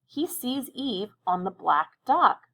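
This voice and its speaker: Female elementary teacher, medium pitch, encouraging and instructional, guiding young kids through a read-aloud. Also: sing-song, slow, pushed and bright. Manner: encouraging, addresses children directly with check-in questions.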